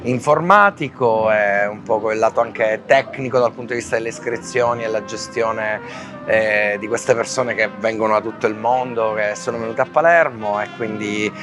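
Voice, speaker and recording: A man's voice: lowish voice, brisk, over music. A man from Italy who helps run a festival, methodically explaining his technical tasks. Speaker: festival organizer. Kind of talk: festival interview.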